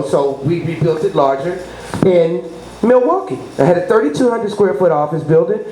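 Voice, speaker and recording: A woman's voice: on the low side, dark tone. A middle-aged woman from New Orleans, a business consultant talking up her services as an interview guest, rambling from point to point with name-drops and asides about God. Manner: rambling, salesy, name-dropping, religious asides. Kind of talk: promotional interview talk. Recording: echoey room, faint noise.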